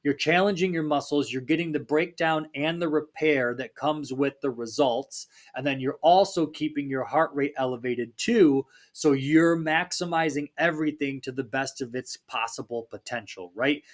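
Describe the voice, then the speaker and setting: A man hosting a fitness podcast, talking in a casual, upbeat pep-talk way, his voice a little raspy from a recent cold. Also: fairly high pitch, normal speed, hardly any pauses. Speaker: fitness coach and podcast host.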